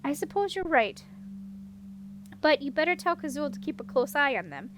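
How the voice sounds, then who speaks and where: A woman's voice: high-pitched, sing-song, normal speed, noisy background. A woman voicing a polite, thoughtful young prince made of stone, speaking formally in a storybook. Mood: serious. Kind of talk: storybook character reading.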